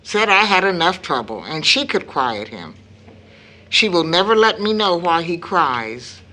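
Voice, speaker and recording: A woman playing a worried, grave middle-aged mother in an audio drama, speaking earnestly and deliberately. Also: fairly low pitch, bright, forceful tone, exaggerated voice.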